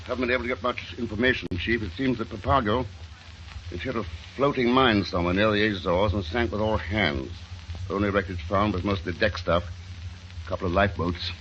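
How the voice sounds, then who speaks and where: Give me a man playing a low, forceful investigator. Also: fairly even intonation, brisk, lightly acted. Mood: businesslike. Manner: curt, dry. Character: brusque, unflappable male investigator, dryly impatient. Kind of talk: 1940s radio acting. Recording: muffled, faintly noisy old radio recording.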